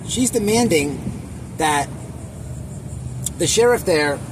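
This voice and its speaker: A man giving a casual, opinionated reaction rant as an online commentator, emphatic and repetitive, with halting phrasing and crude slang. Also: on the high side, expressive, noisy background.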